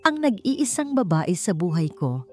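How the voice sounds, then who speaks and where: A woman's voice: lowish voice, very animated, moderate pace, a little mellow. A woman voicing an arrogant, cruel businessman husband in a romance story, declaiming with scornful emphasis. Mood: scornful, declaring. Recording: music behind the voice.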